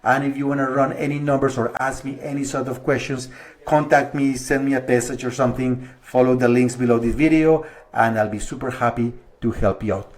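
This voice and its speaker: A middle-aged man from Colombia, a mortgage broker talking viewers through figures step by step in a relaxed, conversational way. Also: medium pitch, brisk. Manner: conversational, walks through numbers step by step, slight restarts. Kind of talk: explanatory video monologue to viewers.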